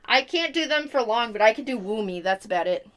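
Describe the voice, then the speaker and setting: Young woman streamer, higher bright voice, rambly explaining stuff, super casual. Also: lively intonation, fairly quick. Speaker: live streamer chatting during gameplay. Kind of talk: casual chat.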